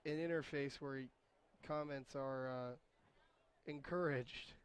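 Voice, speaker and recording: A man with a raspy, hoarse voice doing solo play-by-play commentary of a soccer match, chatty and quick to correct himself. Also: medium pitch, steady tone. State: hoarse voice recovering. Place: outdoor stadium.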